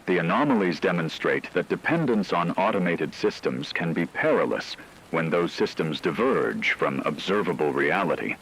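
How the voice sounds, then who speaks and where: A man playing a grave radio news anchor in a scripted drama, speaking in a measured, formal way over a noisy background. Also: deep voice, vocal fry, fairly bright tone, breathy edge, heavily acted. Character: grave male radio news anchor reporting a global AI crisis.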